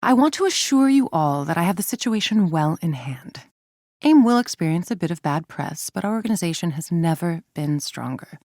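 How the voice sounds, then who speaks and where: A woman playing a cold, calculating villain scientist-executive in a video game, speaking in a measured, imperious, menacing way with a husky, creaky voice. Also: fairly low pitch, expressive, a little mellow, no room sound, studio quality. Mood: cool, authoritative. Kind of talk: scripted dramatic video game monologue.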